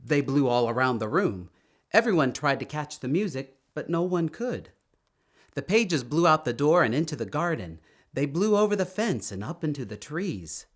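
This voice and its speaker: A man reading a children's story aloud in an expressive, emphatic storytelling manner, drawing out words. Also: fairly high pitch, normal speed. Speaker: storytime reader.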